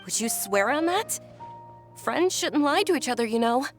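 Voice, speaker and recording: A woman voicing a sheltered, earnest young noblewoman in a video game, gentle and sincere. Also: sits high, lively intonation, moderate pace. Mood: concerned. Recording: game audio with faint music.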